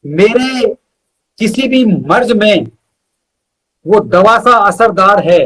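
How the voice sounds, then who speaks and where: A man's voice: high-pitched, expressive, takes it slowly, sharp tone, low-quality recording. A man reciting poetry as a guest poet, repeating lines for emphasis, with short asides to listeners.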